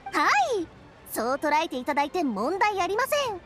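An actress playing a polite, perky young newspaper reporter in an anime game. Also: female voice, very high voice, animated, moderate pace, faint music behind. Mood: cheerful, polite. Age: young adult.

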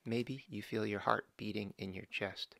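Male meditation guide, low gravelly voice, dry close mic, slow calm instructions. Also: no echo. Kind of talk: guided body scan meditation.

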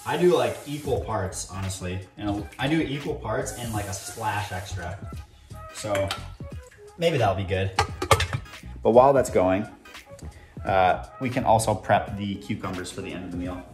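A man's voice: on the low side, unhurried. Chatty young man cooking at home. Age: young adult. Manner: chatty, rambling, playful asides. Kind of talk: casual cooking vlog chat. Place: home kitchen, indoors. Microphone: camera on the counter, moving around the kitchen. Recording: clear background music under the voice.

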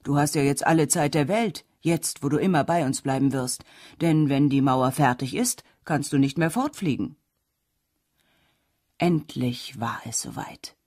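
A woman's voice: low voice, a little slow, darkish tone, dry recording, studio quality. A woman narrating a folk tale as a scripted audiobook. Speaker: storyteller.

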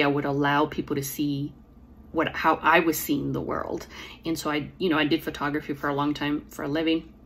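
A woman's voice: low voice, a bit bright, a little hiss or hum. A woman in her forties with a slight lisp, reflectively telling a personal story to camera from home. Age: 40s. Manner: reflective, earnest, with fillers and restarts. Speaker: poet and author. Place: small room at home, indoors. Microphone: device camera at close framing.

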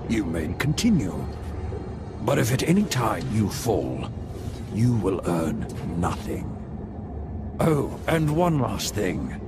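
Sinister rule-giver, slow, lively pitch, loud music under. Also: male voice. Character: menacing male games master explaining deadly rules. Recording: film clip with loud music.

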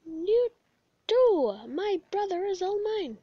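A woman voicing an exuberant, childlike male skeleton in a comic dub, loud and declamatory with drawn-out emphasis. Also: extremely high pitch, very animated, no echo, dull audio, pitched above the performer's own voice, exaggerated voice. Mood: proud, boisterous.

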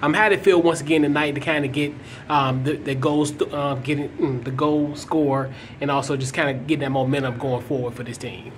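A man's voice: medium pitch, fairly even intonation, a little fast, a little hiss or hum. A man interviewing after a match, asking long rambling questions with many fillers. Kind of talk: post-game press questions.

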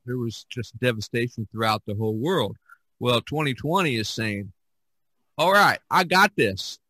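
A man hosting a casual podcast chat, reminiscing in a halting, rambling way and often repeating his words. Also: medium pitch, bright, forceful tone, no room sound, poor audio. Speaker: podcast host.

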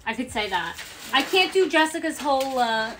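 A woman's voice: sits high, very animated, moderate pace, fairly bright tone, a little hiss or hum. A woman with an Italian-American Bronx accent, chatting casually while packing orders on a livestream and reading out comments. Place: small business office.

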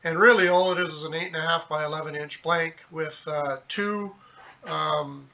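A middle-aged man giving a casual, unscripted how-to explanation, halting and thinking aloud as he goes. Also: a little high, steady tone, pushed and bright, highs cut off, slight background noise. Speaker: hobbyist host of a DIY tutorial. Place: small indoor home workshop at a desk, later at a computer.

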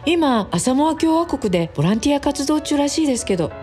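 A woman playing a chatty, teasing female office worker in a radio drama, expressive and gossipy. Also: high voice, quick pace, soft background music.

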